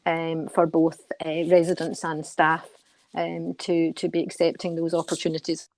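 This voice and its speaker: A middle-aged woman answers radio interview questions in a low voice that stays very even. She is measured and earnest, often pausing to repeat a word before carrying on. The sound is dry and close, with little room around it. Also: dry recording. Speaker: guest, care charity board member.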